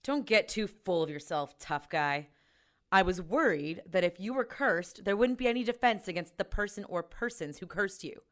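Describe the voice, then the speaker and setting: An actress plays a tough female ex-cop in an audio drama. Her voice is medium-pitched and she delivers her lines in a blunt, sardonic, natural way. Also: expressive, moderate pace, natural voice. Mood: worried, guarded. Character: tough, sarcastic ex-cop woman, blunt and exasperated.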